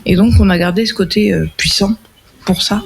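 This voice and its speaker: A man with a high, gravelly voice chats casually on a talk show. His tone is husky, and he wanders through his points, correcting himself as he goes. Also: fairly even intonation, moderate pace. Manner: rambling, self-correcting. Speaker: co-host.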